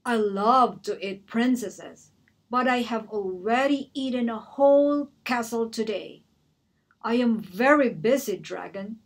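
A woman playing a loud, boastful dragon. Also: on the high side, unhurried. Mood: dismissive. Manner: loud, proud, dismissive. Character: boastful vain male dragon.

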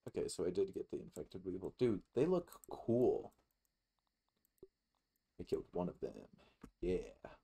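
Deep-voiced, slow-talking man rambling over a game. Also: big pitch swings, gravelly, dark tone, breathy edge. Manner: rambling, sweary. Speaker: gaming YouTuber. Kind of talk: casual let's play commentary.

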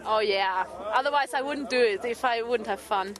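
A woman's voice: a little high, normal speed, music in the background. A woman athlete giving short, simple answers. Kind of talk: casual interview answers.

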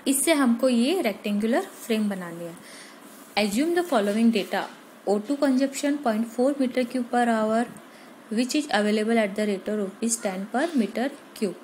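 A woman's voice: fairly high pitch, very slow, darkish tone, a little hiss or hum, poor audio. A woman with an Indian accent giving a step-by-step explanatory lesson, often posing questions as she goes. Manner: didactic, step by step, with frequent rhetorical questions. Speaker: polytechnic lecturer. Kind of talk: explanatory lesson mixing Hindi with English technical terms.

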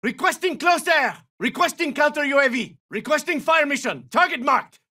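Tense, high-pitched battle-hardened soldier. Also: male voice, animated, moderate pace, bright, forceful tone, recorded from a distance, full character voice. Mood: urgent, shouting. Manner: clipped, terse, urgent radio-style callouts. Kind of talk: military video game combat callouts.

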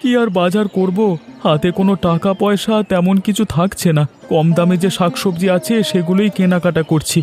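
A man's voice: sits high, steady tone, moderate pace, a little mellow, faint music behind, lightly acted. A man voicing a worried, irritable village farmer and husband in a cartoon drama. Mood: downcast.

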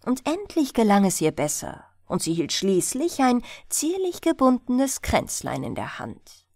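A woman narrating a bedtime fairy tale in an expressive storytelling style, as an audiobook reader. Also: fairly high pitch, unhurried, gravelly, no room sound, studio quality.

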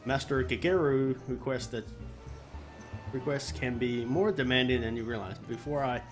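A man doing a live let's play, reading quest dialogue aloud haltingly and often restarting his phrases. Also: mid-range voice. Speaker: game streamer. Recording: music behind the voice. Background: game music.

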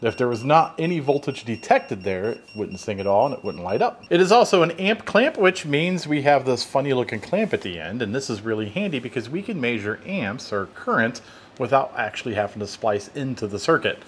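A man's voice: mid-range voice, sing-song, brisk, slight background noise. A man from the United States with an American accent gives a conversational, explanatory hands-on tool review in a workshop. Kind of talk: hands-on product review and demonstration. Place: home workshop at a workbench.

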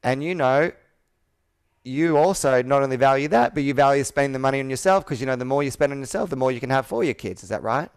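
A man's voice: medium pitch, animated, quick pace, clean tone. A man in his thirties coaching sales through roleplay in a persuasive, direct manner. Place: indoor room with whiteboard, on a video call.

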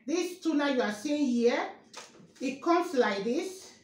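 High-voiced woman slowly showing groceries. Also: expressive, darkish tone. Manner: slow and repetitive, restating each price and asking viewers if they can see. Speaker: vlogger. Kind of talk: grocery haul show-and-tell. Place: kitchen, indoors. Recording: echoey room with faint noise.

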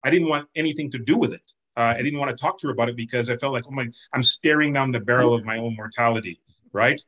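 A man's voice: medium pitch, fast, pushed and bright, poor audio. A man on a video call earnestly sharing a personal experience. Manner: earnest, candid personal testimony. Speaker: community member sharing experience.

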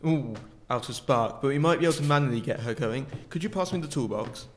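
A man's voice: medium pitch, quick pace, a little hiss or hum, close to an everyday voice. A man playing a chiding but caring space pilot father in a sci-fi audio drama. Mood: weary.

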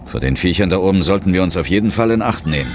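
Radio-play ghost hunter hero: very deep, expressive, self-assured, music underneath. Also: male voice, fast, a little hiss or hum. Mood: wry, curious. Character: resolute, dry-witted male ghost hunter hero. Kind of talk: audio drama acting with first-person narration.

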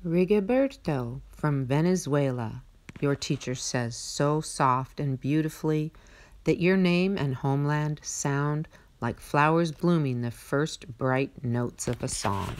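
A woman reading a picture book aloud in a measured, expressive storytelling manner. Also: sits low, clear, resonant tone. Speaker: read-aloud narrator.

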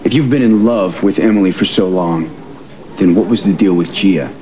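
A man's voice: sits low, moderate pace, dull audio, a little hiss or hum, lightly acted. An actor playing a suspicious, protective young man in a daytime soap, talking bluntly and skeptically. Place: indoor studio set.

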